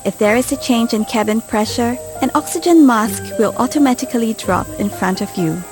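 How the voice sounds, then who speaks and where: A woman giving a scripted safety briefing in a clear, calm instructional way, recorded as a studio voice-over. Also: a little high, a little fast. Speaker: safety video narrator. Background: music bed.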